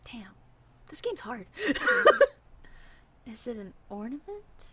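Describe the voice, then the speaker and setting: A woman's voice: a little high, very animated. A woman co-hosting a podcast, playing a word game in casual chat with frequent giggles. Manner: giggly, quick soft answers. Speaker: podcast co-host.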